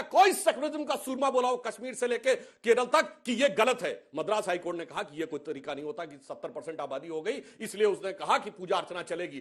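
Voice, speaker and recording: A man arguing assertively as a political panelist on a TV debate, in a lawyerly way. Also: sits high. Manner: assertive, lawyerly, cites dates and cases.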